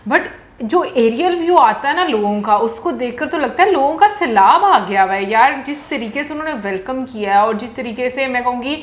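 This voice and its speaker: A woman in her thirties co-hosting a reaction video, chatting in an animated, talkative way, recorded on a lapel mic indoors. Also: fairly high pitch, echoey room. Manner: animated, chatty, quick asides. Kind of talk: casual reaction chat. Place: indoor studio with colorful note wall.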